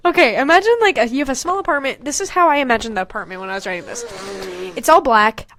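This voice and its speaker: A young woman hosting a podcast, casually reading aloud and mocking her own writing in an animated, sweary, giggly way. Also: high-pitched, fast talker. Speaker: podcast host. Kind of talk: casual comedic commentary while reading own old fanfiction aloud.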